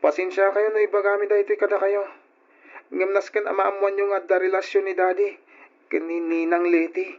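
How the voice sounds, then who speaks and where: A man's voice: high-pitched, level tone, fairly quick, lightly acted. A man with an Ilocano accent playing a troubled, earnest young son and older brother in old radio drama style. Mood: grave, apologetic. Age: young adult. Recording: dull lo-fi old radio recording.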